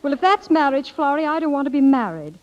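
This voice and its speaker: A high-pitched young wife, emotional and stubborn, in an old radio play. The dull 1930s recording muffles her period-style delivery. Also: sing-song, fast. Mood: despondent.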